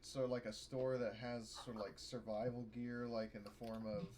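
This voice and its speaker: A man's voice: medium pitch, little pitch movement, moderate pace, a little mellow. Hesitant male tabletop player, faint background noise. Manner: hesitant, piecing questions together. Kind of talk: casual game chat.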